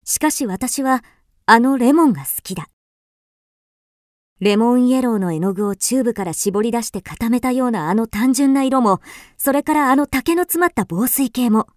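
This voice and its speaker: An actress playing a wistful, introspective first-person storyteller in a literary radio drama. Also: female voice, fairly high pitch, moderate pace, a little mellow, no echo, studio quality, close to an everyday voice. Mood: wistful, relieved. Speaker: actor narrating.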